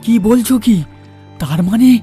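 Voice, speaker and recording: A man playing a frightened, indignant gentleman passenger in a ghost story, stammering his demanding questions. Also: sits high, lively intonation, takes it slowly, pitched above the performer's own voice. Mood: alarmed, questioning. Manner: agitated, stammering repeated questions. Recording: music under the voice.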